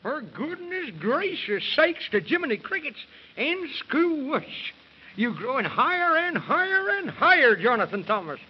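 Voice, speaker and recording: A man playing an excitable, folksy, fretful companion in a 1930s children's radio fantasy. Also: extremely high pitch, unhurried, exaggerated voice. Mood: fretful excitement. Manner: exclamatory, folksy and fussy. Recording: muffled, noisy 1930s radio recording.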